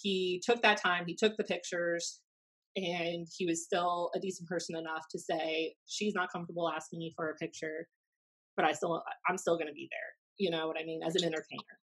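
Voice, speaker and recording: An American woman in her thirties telling anecdotes casually, in a blunt, animated, sweary way, as a podcast host. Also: lowish voice, fairly quick, poor audio. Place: small room at home, indoors. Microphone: desk podcast microphone on video call.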